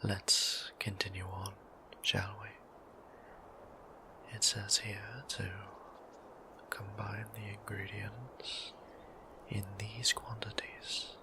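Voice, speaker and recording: Casting: male actor as courteous, bashful vampire priest for audio roleplay. Slow, even whisper, formal and tender, breathy. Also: background noise. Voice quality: breathy whisper with creaky low tone. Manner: formal, old-fashioned, polite, measured phrasing.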